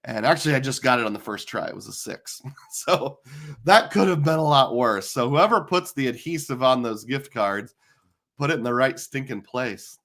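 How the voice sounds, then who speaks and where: A middle-aged man with a hoarse, tired voice, hosting a live stream in casual chat, rambling with lots of fillers. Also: mid-range voice, animated, normal speed, a bit bright. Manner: chatty, rambling with many fillers. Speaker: livestream host.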